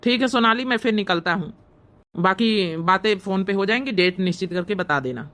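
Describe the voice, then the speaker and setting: A woman's voice: mid-range voice, moderate pace, a bit bright, natural voice. A woman voicing a polite, businesslike young suitor from Delhi in a cartoon comedy. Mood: agreeable.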